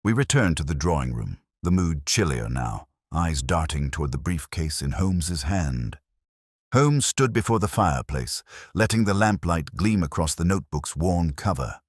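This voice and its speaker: Very deep-voiced man narrating a story. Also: very animated, normal speed, no room sound, clean studio sound. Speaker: audiobook narrator. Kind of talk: scripted first-person detective story narration.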